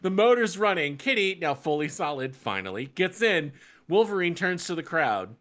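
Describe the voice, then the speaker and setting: A woman's voice: on the low side, very animated, moderate pace. A woman from New York state reading a script aloud as a podcast co-host, breaking off for sardonic, incredulous asides. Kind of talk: script table read with joking commentary.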